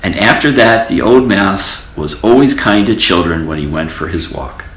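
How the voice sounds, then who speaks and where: A man's voice: low-pitched, moderate pace, a bit bright, echoey room, rough recording. An older man reading a children's story aloud, drawing out repeated words for effect. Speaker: storybook reader.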